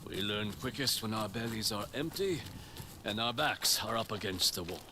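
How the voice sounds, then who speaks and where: Guy voicing a blunt wry spear teacher in a game, stern, lowish voice, noisy background. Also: moderate pace, natural voice. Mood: grave. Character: gruff, stern male spear master and resistance leader. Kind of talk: dramatic video game acting.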